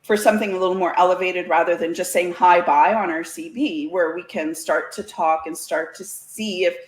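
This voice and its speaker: A woman's voice: fairly low pitch, steady tone, normal speed. A woman in casual conversation as an interview guest, chatty and folksy, often breaking into laughter. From Canada. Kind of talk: casual chat. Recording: roomy, echoey sound.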